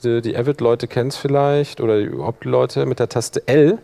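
A man presenting a live workshop, explaining software in a colloquial, hands-on way. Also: medium pitch, steady tone, fairly quick, gravelly. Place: live workshop in front of attendees.

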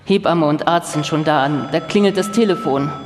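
A man playing a chief programmer who recounts anecdotes in a dry, measured way, in a live documentary radio feature. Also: a little high, music in the background, natural voice. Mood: wry, narrative. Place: live stage in a large hall. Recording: echoey hall with long reverb.

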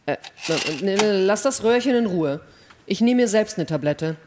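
A woman's voice: medium pitch, animated, moderate pace, background noise, close to an everyday voice. An actress playing a distrustful, rule-abiding female astronaut who speaks curtly and reproachfully in a sci-fi radio play. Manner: curt, reproachful. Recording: long hall reverb.

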